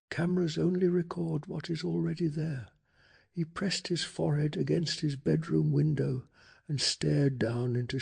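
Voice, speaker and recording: A man softly narrating a bedtime story in a calm, hushed ASMR style, telling it with deliberate drama. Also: a little high. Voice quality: soft, breathy.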